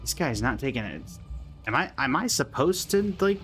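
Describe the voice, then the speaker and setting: A man's voice: mid-range voice, very animated, normal speed, a bit bright, faint music behind. A man giving casual live commentary while playing a video game, with dry, muttered asides to himself and the game. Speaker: gaming streamer reacting to gameplay.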